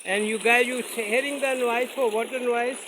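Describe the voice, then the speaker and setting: A man whose first language is Urdu, vlogging outdoors by a mountain stream in halting, simple English with many fillers, filmed on a phone without a separate mic. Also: extremely high pitch, steady tone, noisy background. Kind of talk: casual vlog narration. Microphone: phone camera, no separate mic.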